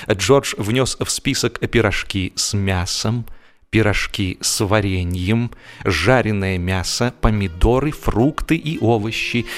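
A man reading humorous fiction as an audiobook narrator, with theatrical, wry delivery and varied emphasis. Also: on the low side.

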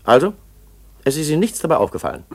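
Fast-talking, relentless male examining magistrate. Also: fairly high pitch, huge pitch swings, slightly rough, heavily acted. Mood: sharp, impatient. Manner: curt, commanding, badgering. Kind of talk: 1950s German radio play acting.

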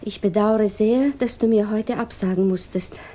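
An actress playing an anxious, lovesick young woman in a 1950s German radio drama, with a breathy voice that often drops to a whisper. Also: mid-range voice, fairly even intonation, normal speed, dark tone, lightly acted. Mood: composed pleading.

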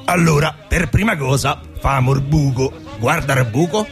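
An actor playing a cynical Roman gang boss in a satirical stage sketch, dismissive and matter-of-fact. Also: male voice, a little high, moderate pace, a bit bright, faint music behind, natural voice.

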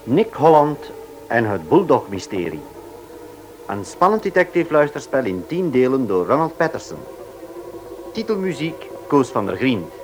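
A man's voice: medium pitch, very animated, normal speed, soft background music, rough recording. A man delivering dramatic scripted narration and announcements for a radio play.

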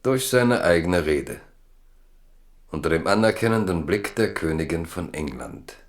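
A man with a deep voice narrates a literary radio play. His storytelling is deliberate and dramatic. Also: animated.

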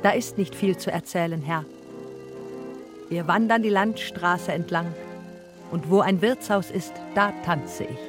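Woman acting a shy young dancer girl from the road, submissive, clear music behind her, radio play. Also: on the low side, unhurried, long pauses, natural voice. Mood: meek, guarded. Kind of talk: radio drama acting, film scene rehearsal.

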